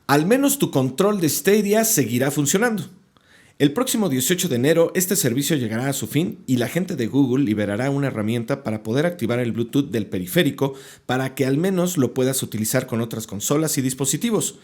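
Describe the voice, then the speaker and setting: Mexican male podcast host, mid-pitched voice, scripted entertainment-style news briefs, informal delivery. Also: animated, fairly quick, a little mellow.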